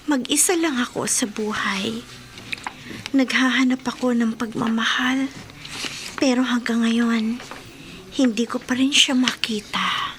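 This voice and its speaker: A woman playing a mysterious, lonely and softly seductive admirer in a horror radio drama, speaking in a hushed, breathy way. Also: on the high side, fairly even intonation, a little hiss or hum. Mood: soft, alluring. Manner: slow, hushed, breathy whispering delivery.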